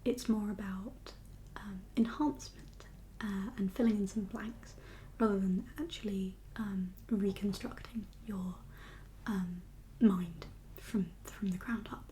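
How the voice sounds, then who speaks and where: A woman doing a whispered ASMR roleplay as a doctor, speaking in a breathy, gentle, reassuring and slightly hesitant consultation manner. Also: medium pitch, slow, a little hiss or hum, rough recording.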